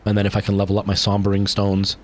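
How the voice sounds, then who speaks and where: A man's voice: on the low side, completely flat delivery, fast talker, a little mellow. Chatty young man streamer, rambling. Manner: chatty, rambling, many fillers and restarts. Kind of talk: casual chat ending a game stream.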